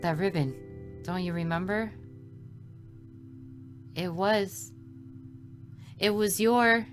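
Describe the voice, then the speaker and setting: A woman's voice: fairly low pitch, very slow. Woman voicing a mysterious, teasing angelic girl. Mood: wistful. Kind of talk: visual novel character read aloud. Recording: music behind the voice.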